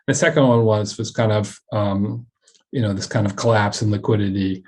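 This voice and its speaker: A man's voice: fairly low pitch, normal speed, darkish tone. A man speaking as a company chairman on a web earnings call, giving a semi-scripted business presentation and often repeating words and restarting.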